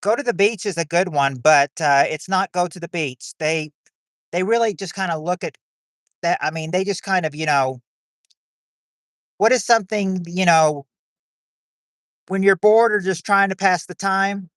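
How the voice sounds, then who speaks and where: A man's voice: high voice, normal speed, pauses often, fairly bright tone, no echo, studio quality. A man hosting a livestream of a quiz game, rambling as he reads chat and greets viewers.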